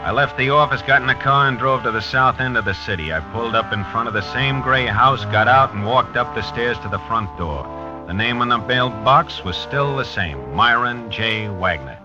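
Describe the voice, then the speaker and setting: An American actor playing a dogged, weary police lieutenant in a 1950s radio drama, with a clipped, hard-boiled delivery. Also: male voice, mid-range voice, moderate pace, music in the background, lightly acted. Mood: matter-of-fact narrating. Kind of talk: 1950s radio drama acting and first-person narration. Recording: dull vintage 1950s radio recording.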